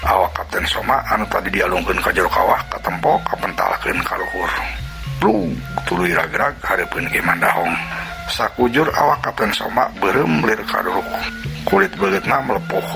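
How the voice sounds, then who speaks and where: A man's voice: sits low, lively intonation, moderate pace, creaky, rough recording. A man with a Sundanese accent narrating a folk tale vividly, voicing its sound effects himself. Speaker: storyteller. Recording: narration over loud music.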